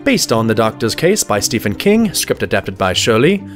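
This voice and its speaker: A man's voice: lowish voice, big pitch swings, normal speed, soft background music. A man announcing credits in a scripted narration.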